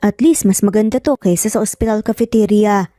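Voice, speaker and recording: A woman voicing a sincere, gently teasing young father in love in a narrated romance story. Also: mid-range voice, a little fast, no echo, clean studio sound, soft background music, natural voice.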